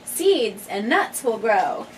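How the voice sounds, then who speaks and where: A woman's voice: mid-range voice, sing-song, takes it slowly. A woman, a mother, reading a children's picture book aloud and putting questions to her young listeners. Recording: noisy, distant microphone.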